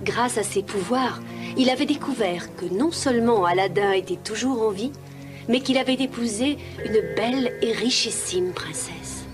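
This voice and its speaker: A woman narrating a children's animated tale in a scripted storybook style. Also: fairly high pitch, normal speed. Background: film music.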